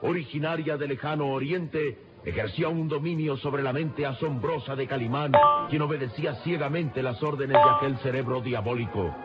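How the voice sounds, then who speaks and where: A man's voice: medium pitch, moderate pace. A man narrating an old adventure radio serial in a solemn, emphatic, suspenseful style. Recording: dull lo-fi radio serial, loud music.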